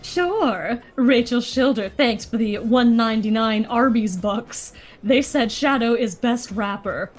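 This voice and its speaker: Woman voicing playful game bat lady, fairly high voice, casual livestream banter, music behind. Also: animated, a little slow. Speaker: livestream co-host. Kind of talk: in-character improvised livestream banter. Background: background music.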